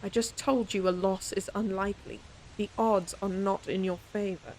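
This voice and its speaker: A woman's voice: mid-range voice, fairly even intonation, moderate pace, darkish tone, slight background noise, lightly acted, low-quality recording. A woman playing a proud, stern lady knight in an audio roleplay, scolding her companion in an exasperated but secretly fond way. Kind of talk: audio roleplay acting, speaking to the listener.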